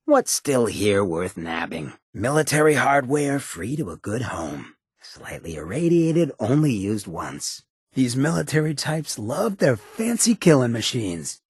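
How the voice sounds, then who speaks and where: An actor voicing a cocky, roguish, streetwise ghoul companion in a video game, with a very raspy, gravelly voice and a sly, laid-back swagger. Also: male voice, mid-range voice, sing-song, a little slow, no room sound, clean studio sound, heavily acted. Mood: wry musing.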